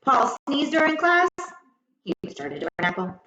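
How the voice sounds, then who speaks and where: Female storyteller for children's read-aloud: dramatic, highly varied pitch, careful phrasing, roomy and slightly dull recording. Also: very animated, normal speed, bright, forceful tone, a little hiss or hum. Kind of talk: expressive scripted children's story narration. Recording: echoey room, slightly muffled sound.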